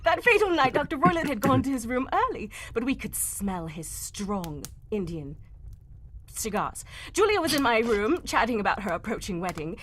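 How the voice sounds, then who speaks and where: Casting: actress as proper, anxious young woman storyteller, high voice with big melodic swings, vintage radio-mystery melodrama. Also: lively intonation, moderate pace, a bit bright. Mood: grave, recounting. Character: Helen Stoner, proper anxious young Englishwoman telling her story. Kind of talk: live old-time radio mystery acting.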